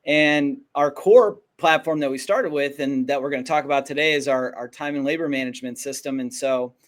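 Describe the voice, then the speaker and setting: A man hosting a live webinar with a slightly raspy voice, presenting a product in a loose conversational way with restarts. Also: medium pitch, brisk.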